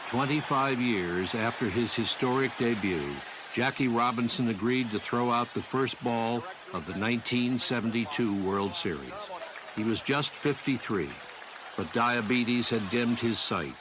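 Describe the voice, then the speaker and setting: A man reading scripted documentary narration in a solemn, even storytelling manner. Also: mid-range voice, measured pace, background noise. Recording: narration over music bed.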